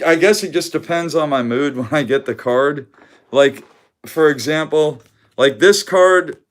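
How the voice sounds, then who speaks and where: A man hosts a home live stream in an easygoing, rambling way. His voice is loud and carries, he laughs often, and he stops and restarts his sentences. His pitch is ordinary for a man. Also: clear, resonant tone. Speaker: card collector hosting a live stream. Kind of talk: casual live-stream chat. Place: home room with weak Wi-Fi.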